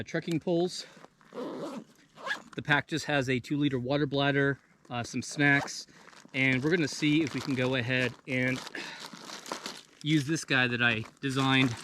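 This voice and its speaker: A man's voice: mid-range voice, bright, forceful tone. A man in his thirties talking casually to viewers as the host of an outdoor video channel, out in the open. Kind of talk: casual freestyle vlog talk to viewers. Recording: noisy outdoor field recording.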